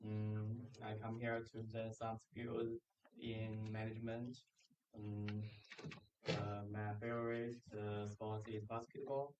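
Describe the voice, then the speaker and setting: A male student from China introducing himself in class, pausing with humming fillers. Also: on the low side, flat delivery, darkish tone, muffled recording.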